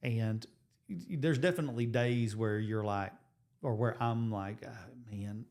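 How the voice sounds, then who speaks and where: A man in his forties, a high school coach and teacher, co-hosting a casual podcast and talking things through at length with many restarts. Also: a little slow. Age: 40s. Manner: rambling, restarts, thinks out loud with numbers. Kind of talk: casual chat.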